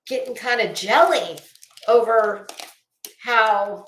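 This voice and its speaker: A woman giving rambling, casual online commentary in a sarcastic, mocking manner, with halting delivery and drawn-out pauses. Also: fairly high pitch, fairly bright tone, slight background noise. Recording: roomy, echoey sound.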